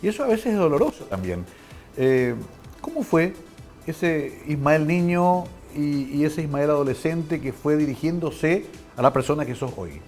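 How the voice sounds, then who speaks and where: A male television host with a Paraguayan accent asks questions in a conversational way. His voice is a little higher than most men's. There is light music behind him. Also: sing-song, moderate pace, darkish tone. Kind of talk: conversational TV interview.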